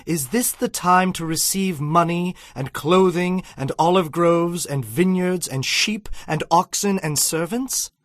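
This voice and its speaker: Stern, higher-voiced prophet. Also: male voice, fairly bright tone, no echo, clean studio sound. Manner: solemn, authoritative. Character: stern, commanding prophet, man of God. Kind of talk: dramatized audio Bible acting.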